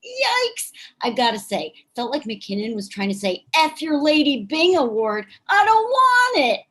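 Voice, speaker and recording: An actress playing a chatty, excitable hockey podcast host in a staged play reading. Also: female voice, high voice, a little slow, full character voice. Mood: excited, gossipy. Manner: informal, animated.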